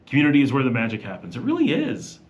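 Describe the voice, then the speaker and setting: Relaxed man hosting a live Q&A. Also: mid-range voice, racing, muffled recording. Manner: relaxed, chatty, reads comments aloud. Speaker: livestream host. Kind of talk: casual live Q&A chat.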